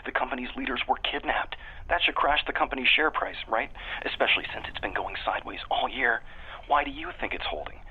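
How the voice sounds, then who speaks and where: Jaded whistleblower caller, husky half-whisper, thin phone line, angry, conspiratorial, audio drama. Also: male voice, lively intonation. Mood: sardonic, insistent. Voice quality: husky, breathy. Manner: low, hurried, conspiratorial. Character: jaded, angry male tech employee phoning in anonymous tips. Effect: telephone filter. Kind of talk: radio drama acting.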